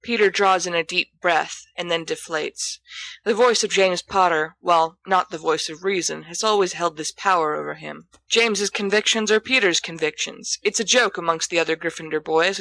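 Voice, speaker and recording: A woman's voice: on the low side, sharp tone, dry recording, low-quality recording. A woman reading a scripted story aloud as an audiobook narrator. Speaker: volunteer audiobook reader.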